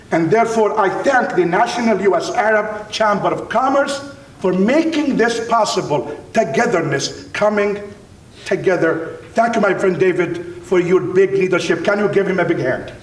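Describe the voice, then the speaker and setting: A man with a high voice and an Arabic accent delivers an inspirational religious address. He is emphatic and deliberate, repeating phrases for weight. The sound is roomy and picked up from a distance. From Middle East, Palestinian Jordanian. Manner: emphatic, deliberate oratory with repetition and pauses. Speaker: imam and university teacher speaking at a dinner. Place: large dinner banquet room. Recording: roomy, distant mic, faint rumbling noise.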